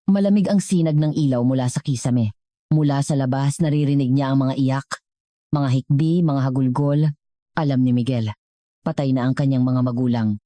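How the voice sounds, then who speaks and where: A woman with a low, mellow voice, reading a scripted dramatic story as narrator. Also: normal speed, no echo.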